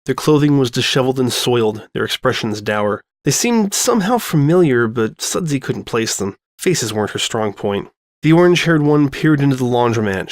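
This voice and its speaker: A man's voice: medium pitch, no room sound, clean studio sound. A man reading fan fiction as scripted story narration.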